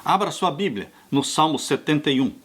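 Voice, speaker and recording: A man in his sixties, a pastor, preaching an earnest and unhurried sermon that addresses his listeners tenderly as dear ones. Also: on the high side, animated, fairly bright tone, a little hiss or hum. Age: 60s. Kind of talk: sermon on a Bible study lesson.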